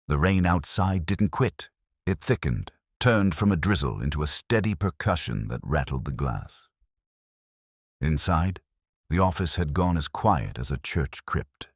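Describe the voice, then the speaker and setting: Man, very low voice, swooping intonation, narrating detective fiction in a dry close sound. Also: animated, unhurried, slightly rough, dry recording. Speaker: audiobook narrator. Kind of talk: scripted first-person detective fiction narration.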